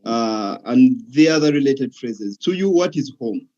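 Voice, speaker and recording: A man's voice: medium pitch, lively intonation, unhurried, warm tone. Hesitant male TV host, dull sound. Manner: hesitant, many "uh" fillers. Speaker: TV show host. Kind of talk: hosting an interview show.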